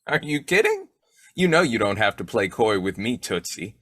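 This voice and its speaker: A man playing a blustery, boyish, quick-tempered bumbling husband in old-time radio comedy, with a folksy, slangy, argumentative way of talking. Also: on the low side, big pitch swings, fairly bright tone, no echo, studio quality, exaggerated voice. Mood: joking.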